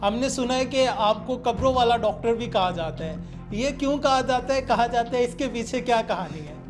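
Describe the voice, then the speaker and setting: A woman's voice: fairly high pitch, expressive, moderate pace, over music. A woman with a Pakistani accent hosting a podcast interview and addressing the guest. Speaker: podcast host. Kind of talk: interview questioning.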